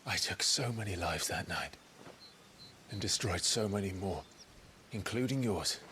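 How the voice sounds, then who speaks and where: A man playing a grim, remorseful young warrior in a video game cutscene, speaking solemnly and deliberately. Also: sits low, lively intonation, sharp tone, slight background noise, heavily acted. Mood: remorseful, resolute.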